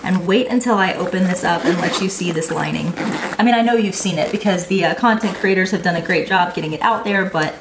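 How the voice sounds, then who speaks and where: A woman's voice: fairly low pitch, a little fast, background noise. Woman shop owner, nasal with a cold, gushing product vlogger; echoey room. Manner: bubbly, gushing enthusiasm. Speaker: online baby boutique owner reviewing bags. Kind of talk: product review vlog.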